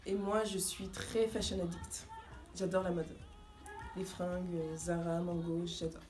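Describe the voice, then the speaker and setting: A woman's voice: on the low side, little pitch movement, measured pace, a little mellow, echoey room, faint music behind. A woman in her twenties, born in Guinea and raised in France, chatting casually and giggling as a vlogger. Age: 20s. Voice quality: giggly, rambling. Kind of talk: casual chat.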